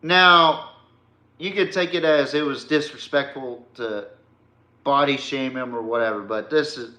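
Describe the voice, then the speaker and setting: A man's voice: mid-range voice, a little slow, pushed and bright, roomy sound. An American man hosting a sports podcast, ranting casually in a blunt, emphatic and profane way. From Texas, United States. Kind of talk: casual opinionated sports rant.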